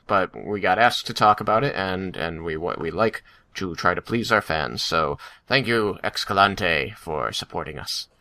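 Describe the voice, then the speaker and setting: A man's voice: sits low, pushed and bright. A man hosting a casual podcast, bantering with friends in a joking, self-mocking way. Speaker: podcast host.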